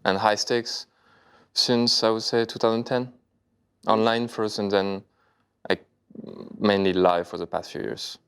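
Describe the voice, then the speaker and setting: Guest, 30s man from China, very low gravelly voice, smooth, hesitant phrasing, soft music under. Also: flat delivery. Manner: halting, searching for English words. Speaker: interview guest, poker player. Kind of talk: casual interview answers.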